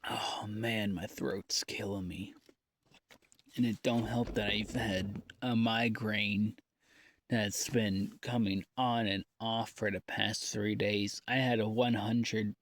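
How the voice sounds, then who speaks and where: A man speaks into a personal audio log at a slow, halting pace, leaving long pauses between thoughts. His delivery is downbeat and folksy, rambling in a confessional way. The sound is dry, with no room around the voice. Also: fairly low pitch, dry recording. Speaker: audio diarist.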